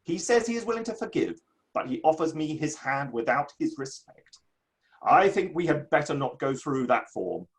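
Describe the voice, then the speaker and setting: A male actor as an indignant literary gentleman of the Victorian age, in a dramatic play reading. The voice sits on the high side and moves with great animation. The phrasing is grandiose, formal and touchy. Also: very animated, normal speed. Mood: affronted. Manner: declamatory, grandiose, formal old-fashioned phrasing. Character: indignant Victorian literary man, proud, theatrical, easily affronted.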